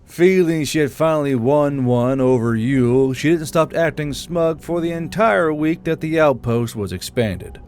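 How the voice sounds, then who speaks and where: A man's voice: on the high side, lively intonation, measured pace, few pauses, darkish tone. A man who hosts a story channel, speaking as the narrator of fantasy audiobook tales.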